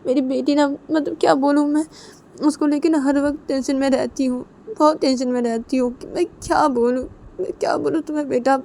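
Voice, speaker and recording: A woman's voice: sits high, steady tone, gravelly, darkish tone, slight background noise, voice pushed up. A woman voicing an anxious middle-aged mother who pleads tearfully and repeats her entreaties. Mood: anxious, pleading. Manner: repetitive, imploring, drawn-out pleas.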